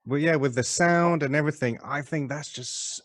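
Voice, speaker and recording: A man chatting on a panel in a relaxed way, often trailing off quietly. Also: on the high side, normal speed. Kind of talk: casual video call panel.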